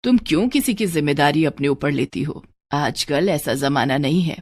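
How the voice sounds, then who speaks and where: A woman's voice: sits low, lively intonation, moderate pace, darkish tone, voice pushed down. A woman voicing a cautious, disapproving older mother-in-law who admonishes her family in a cartoon story. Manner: scolding, admonishing.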